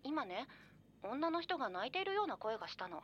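A woman's voice: sits high, very animated, a little fast, husky, exaggerated voice. An actress playing a caring, hesitant young woman, a worried best friend on the phone in a Japanese horror radio drama. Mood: concerned. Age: young adult. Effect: telephone voice filter. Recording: band-limited, phone-call sound.

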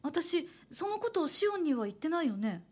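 A woman's voice: high voice, very animated, normal speed, darkish tone. An actress playing a cheerful young woman, an aspiring manga artist who reassures others though a little uneasy, in a Japanese horror radio drama. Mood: puzzled, curious. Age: young adult. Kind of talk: Japanese horror radio drama acting with first-person narration.

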